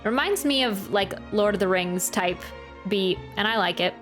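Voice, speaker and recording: A woman's voice: mid-range voice, moderate pace. A woman streamer reacting to a game and chatting casually, rambling and often interrupting herself. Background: soft game music.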